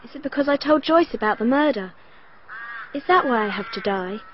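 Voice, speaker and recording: A woman's voice: fairly high pitch, lively intonation, brisk, slight background noise, close to an everyday voice. An actress playing a dreamy, naive young girl in a radio drama, speaking in a wistful, childlike way. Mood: dreamy, wondering. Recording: dull lo-fi broadcast recording.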